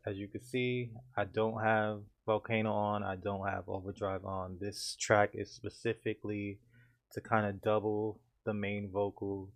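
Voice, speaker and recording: A man with a deep voice calmly walking through his home studio mixing setup in a casual tutorial. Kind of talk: casual screen-share tutorial.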